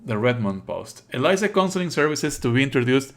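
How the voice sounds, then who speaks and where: Male game streamer, mid-pitched voice, sardonic and exasperated, swears freely, switches between reading on-screen text and ranting. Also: fairly quick. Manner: exasperated, sarcastic, animated outbursts; frequent swearing. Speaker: streamer playing and commenting on a visual novel. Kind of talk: live let's play commentary, reading game text aloud.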